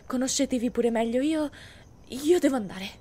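Woman playing a high-voiced, flustered young anime heroine. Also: moderate pace, dark tone. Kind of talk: anime fan dub, ASMR-style audio drama.

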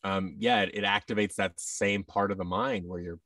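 An American man in his thirties chatting casually as an interview guest, in a halting, self-effacing manner. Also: low-pitched, steady tone, fairly bright tone, dry recording, clean studio sound, recorded from a distance. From United States. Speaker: guest, voice actor. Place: office room indoors, video call. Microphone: boom microphone and headset on a video call.

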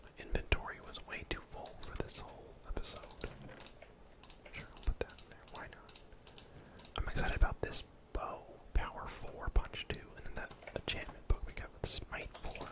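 A young man whispering casual, gently chatty ASMR game commentary in a soft, breathy voice. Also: normal speed. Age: young adult. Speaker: gaming ASMR video host. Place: indoor room at home.

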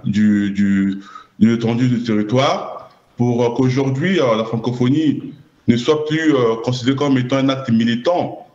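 A man introducing himself over a video call, formal and halting with many fillers. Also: medium pitch, a little mellow. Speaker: partnerships manager. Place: small room indoors. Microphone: webcam on a video call. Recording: roomy, dull video call audio.

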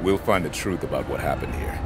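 Low-voiced, commanding squad leader. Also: male voice. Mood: firm, resolved. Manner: brisk, authoritative. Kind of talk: video game cutscene acting. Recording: loud music behind.

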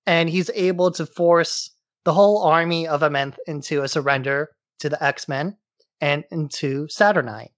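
A man's voice: fairly high pitch, normal speed, fairly bright tone, dry recording. A man hosting a podcast, giving a casual, informal recap in loose everyday language. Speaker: podcast host. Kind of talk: casual comic-book recap.

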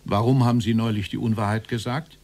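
An actor playing a stern prosecutor in a German documentary radio play, questioning in an insistent, accusing, formal manner. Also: male voice, lowish voice, fairly even intonation, quick pace, a little mellow, close to an everyday voice. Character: stern, relentless male prosecutor interrogating a Nazi official.

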